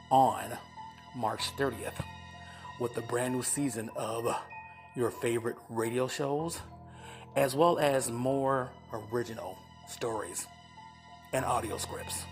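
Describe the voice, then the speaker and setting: A man hosting a podcast, introducing old radio plays with dramatic pauses between short phrases. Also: medium pitch. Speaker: podcast host. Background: music behind the voice.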